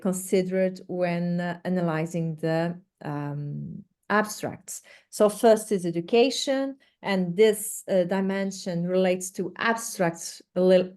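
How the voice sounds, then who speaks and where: A woman from Portugal, a native Portuguese speaker with a Portuguese accent, presents an instructional webinar in a halting, hesitant way with many pauses. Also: lowish voice, a little mellow, clear, resonant tone. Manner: hesitant, halting delivery with frequent pauses and repeated words. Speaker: research director presenting a webinar.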